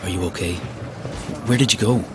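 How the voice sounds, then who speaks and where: An actor playing a concerned male travel companion in an audio drama, halting and soothing in his manner. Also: lowish voice, background noise, natural voice. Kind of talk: scripted audio drama acting.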